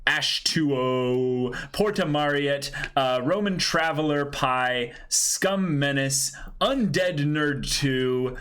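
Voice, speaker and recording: A man hosting a livestream in excited casual chat, exclaiming freely and effusive in his thanks. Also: fairly high pitch, takes it slowly, few pauses, smooth. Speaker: livestream host.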